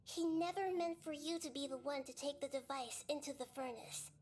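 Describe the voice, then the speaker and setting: A woman voicing a gentle, wise female goddess in a video game, telling the story in a calm, measured way. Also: high voice, lightly acted. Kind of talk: video game voice acting, narration.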